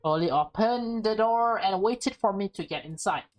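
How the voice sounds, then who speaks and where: A woman doing a casual let's-play, reading a visual novel aloud haltingly, with stumbles, self-corrections and offhand commentary. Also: medium pitch, soft background music.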